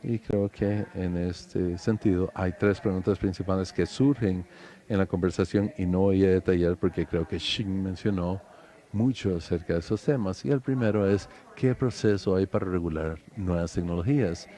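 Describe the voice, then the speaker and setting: A man giving a formal conference presentation as a panelist, measured, with frequent hesitant pauses. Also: deep voice, warm tone.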